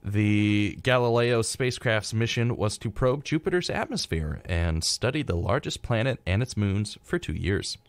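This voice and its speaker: A young American man with a fairly low voice co-hosts a casual radio talk show. He is laid-back, moving between read-out facts and offhand remarks. The recording is dry and close, as in a studio. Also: lively intonation, normal speed, few pauses, dry recording.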